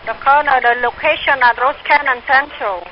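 A woman's voice: high voice, fairly even intonation, brisk, a little hiss or hum. A woman working at a restaurant, taking a phone order in a hesitant, apologetic way. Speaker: restaurant worker. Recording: muffled phone line.

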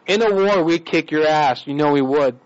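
A man's voice: fairly high pitch, normal speed, slight background noise. A man making a prank phone call on a radio show, badgering and bossy in his accusations.

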